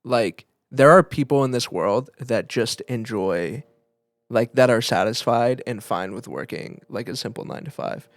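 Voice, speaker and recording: A man's voice: medium pitch, normal speed, no echo. A man co-hosting a podcast in casual chat, informal and searching for his words, speaking into a close microphone. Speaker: podcast co-host.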